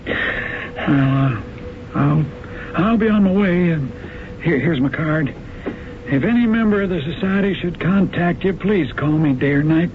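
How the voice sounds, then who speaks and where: A polite agent guy, a bit hesitant, slightly high voice, tinny old radio hiss. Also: animated. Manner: courteous, halting, apologetic.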